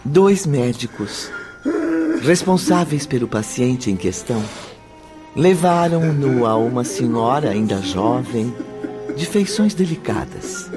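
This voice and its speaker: A slow-paced Brazilian man narrates with solemn drama. His delivery is scripted and measured, in radio play style, with prominent music underneath. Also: medium pitch, animated. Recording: music bed behind the narration.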